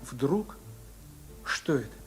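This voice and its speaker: An actor playing a reflective young sailor who narrates lyrically and expressively in a 1950s Soviet radio drama. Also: male voice, medium pitch, extremely slow, over music. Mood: lyrical, wistful. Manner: expressive, literary, wistful. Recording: old 1950s radio recording.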